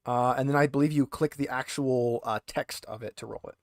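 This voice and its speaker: A man's voice: mid-range voice, steady tone, a little fast, dry recording, studio quality. A man acting as game master, chatting casually and explaining the rules step by step. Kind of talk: casual tabletop game chat.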